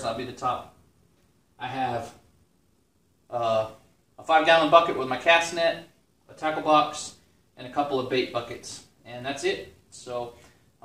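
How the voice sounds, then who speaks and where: A man's voice: mid-range voice, distant mic, a little hiss or hum. A middle-aged man casually explaining a woodworking build in his garage workshop, with plenty of ums. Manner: relaxed, explanatory, frequent ums. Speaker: DIY vlogger. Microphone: camera mic from a distance. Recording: echoey garage, off-mic.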